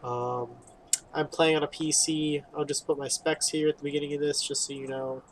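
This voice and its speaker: A man's voice: on the high side, flat delivery, moderate pace, a little hiss or hum. A man giving casual, rambling playthrough commentary on a video game, reading dialogue aloud with wry, informal asides. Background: game music.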